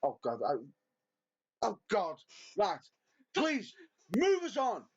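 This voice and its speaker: British guy, high voice that jumps all over, dramatic and exasperated, muffled lo-fi sound. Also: wildly swooping pitch, slow. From Britain. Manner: theatrical, exasperated outbursts. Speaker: podcast co-host. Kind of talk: casual wrestling chat. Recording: dull lo-fi audio.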